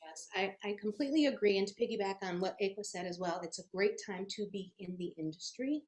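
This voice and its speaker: A woman, a mother, speaking softly and introspectively on a panel discussion, with frequent "um"s. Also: mid-range voice, brisk. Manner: soft-spoken, introspective, frequent "um". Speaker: children's book author on a panel. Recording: echoey room.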